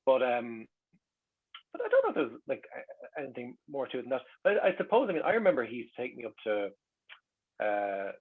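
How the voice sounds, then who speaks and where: A fast-talking Irish man reminisces casually as a panel guest. His voice is husky and rough-edged at a middling pitch. The sound is a little dull and lo-fi. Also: wildly swooping pitch.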